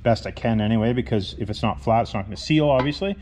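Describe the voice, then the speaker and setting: A man's voice: medium pitch, quick pace, a little mellow. A man talking casually through a workshop repair, rambling and correcting himself as he goes. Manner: rambling, self-correcting, plain-spoken. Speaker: welder and channel host. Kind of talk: casual workshop how-to talk. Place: cluttered workshop garage.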